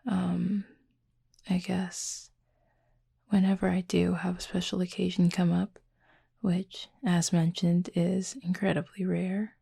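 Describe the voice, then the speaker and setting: A woman playing a reserved, gently teasing cupid roommate in an intimate audio roleplay, speaking softly and breathily in a dry, measured way. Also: lowish voice, level tone, vocal fry, breathy edge, exaggerated voice. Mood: reserved, thoughtful. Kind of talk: intimate roleplay audio drama, addressing the listener.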